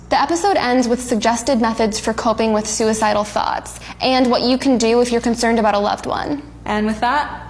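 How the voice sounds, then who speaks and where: A woman hosting who gives a careful, clear scripted introduction. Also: a little high, echoey room. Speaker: host introducing the episode.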